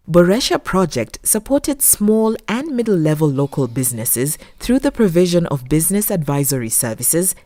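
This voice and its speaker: A woman reading a scripted documentary narration in a measured, formal manner. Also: low-pitched, warm tone, no room sound. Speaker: documentary narrator, voice-over artist.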